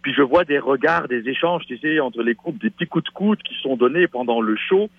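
Comédien à la voix voilée, bavard et enthousiaste, son radio terne, sec. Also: male voice, medium pitch, lively intonation, brisk, gravelly, husky, dry recording. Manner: chatty, enthusiastic promoter. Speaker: stage actor, guest. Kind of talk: radio interview. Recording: dull lo-fi radio sound.

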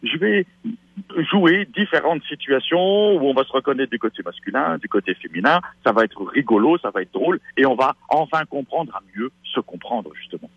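A man's voice: medium pitch, big pitch swings, moderate pace, breathy edge, no room sound. A man, a stage actor, chatting enthusiastically as a guest in a radio interview. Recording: dull lo-fi radio sound.

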